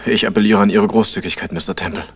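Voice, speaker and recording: A man's voice: lowish voice, steady tone, fast, breathy edge. A man playing a tense, impulsive young schemer in a 1970s German radio play, terse, formal and defensive. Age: young adult.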